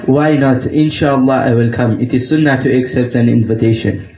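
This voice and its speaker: A man playing a calm, patient pious sheikh who answers with gentle, unhurried reassurance, in an echoey room with noise behind. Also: mid-range voice, natural voice.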